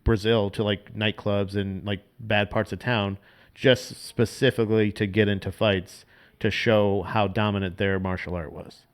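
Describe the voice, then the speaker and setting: A man's voice: fairly low pitch, little pitch movement, measured pace, smooth. Rambling, profane male podcast co-host. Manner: loose, profane, explains at length with many restarts. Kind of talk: casual podcast chat.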